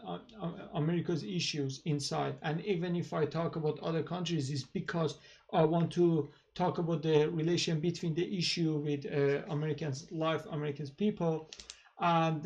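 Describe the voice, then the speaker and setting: A man with a thick Persian accent talks on his own channel in a fairly high voice. He speaks casually and haltingly, full of fillers and restarts. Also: fairly even intonation, vocal fry, a little mellow, dull audio. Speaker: channel host. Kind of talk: casual monologue to camera.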